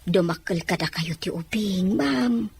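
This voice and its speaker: Woman acting husky, pleading middle-aged mother. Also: lowish voice, a little slow, a little mellow, heavily acted. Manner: deferential, pleading. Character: pleading 46-year-old mother giving up her baby. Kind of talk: Ilocano audio drama acting.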